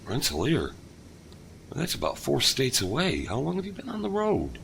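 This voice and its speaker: An actor playing a grieving father haunted by his lost son in a radio drama, speaking gruffly with probing questions. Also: mid-range voice, huge pitch swings, normal speed, a bit bright, slight background noise, exaggerated voice. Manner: gruff, questioning, breaks into anguished outbursts. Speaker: narrator and lead character.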